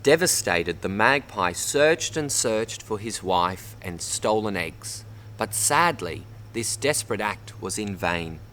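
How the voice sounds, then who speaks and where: An Australian man reads a children's story aloud in an expressive way, addressing young listeners as a storyteller. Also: fairly low pitch, slow, a little hiss or hum. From Bundaberg, Queensland, Australia. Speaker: storybook reader. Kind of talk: read-aloud children's story, narration with word teaching.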